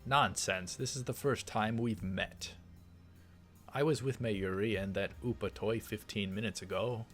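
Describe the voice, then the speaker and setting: A man's voice: fairly low pitch, measured pace, faint music behind, natural voice. A man voicing a paranoid, grandiose mad scientist from a visual novel, in a dramatic, suspicious manner. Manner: dramatic, suspicious inner monologue.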